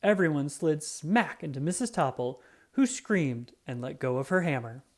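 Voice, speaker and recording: A storybook narrator reading aloud for children in an expressive, dramatic way with drawn-out emphasis. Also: medium pitch.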